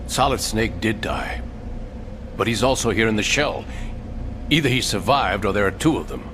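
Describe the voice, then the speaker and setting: An actor playing a secretive, impatient intelligence colonel in a video game, talking in a dry, conspiratorial, explanatory way. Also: male voice, on the low side, lively intonation, normal speed, noisy background, lightly acted. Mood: guarded. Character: secretive male intelligence colonel, undercover hostage, knowing and impatient. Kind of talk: dramatic video game voice acting. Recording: game music behind the voice. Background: music.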